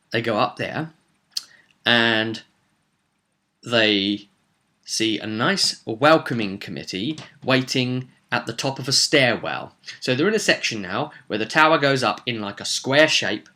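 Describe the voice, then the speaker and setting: A man's voice: mid-range voice, animated, slow pace, bright, forceful tone. A man recapping a tabletop roleplay session as game master, telling it theatrically with casual asides.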